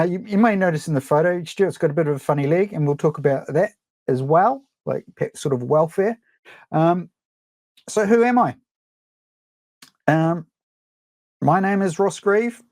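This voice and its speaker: A man's voice: a little high, a little mellow, dry recording. A middle-aged man with a New Zealand accent, presenting a live photography webinar in a chatty, rambling way, full of ums and restarts. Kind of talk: casual live webinar teaching.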